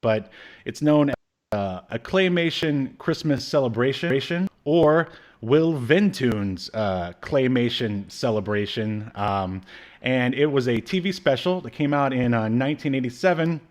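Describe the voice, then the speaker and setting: A man's voice: mid-range voice, animated, a little slow. A man in his thirties with a slight cold, chatting casually and jokingly as a podcast co-host reviewing pop culture. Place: home room with shelves, video call.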